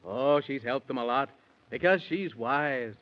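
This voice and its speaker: A man's voice: mid-range voice, measured pace, lightly acted. Man as genial rhyming radio storyteller, muffled sound. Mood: storytelling. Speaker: storyteller narrator. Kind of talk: rhymed children's storytelling, 1930s radio. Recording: muffled 1930s radio recording.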